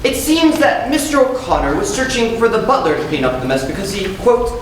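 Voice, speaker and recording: Teen girl as dry businesslike police investigator, medium pitch, student stage comedy, echoey far-off recording. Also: very animated, a little fast, a little hiss or hum, lightly acted. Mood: dry, official. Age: teens. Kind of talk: student stage acting in a one-act comedy. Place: school stage, recorded from the audience.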